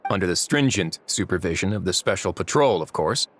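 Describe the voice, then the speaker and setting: Wry, laid-back warden duke. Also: male voice, deep voice, lively intonation, brisk, heavy background noise. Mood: dry, relaxed. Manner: dry, casual, teasing. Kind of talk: anime game voice acting.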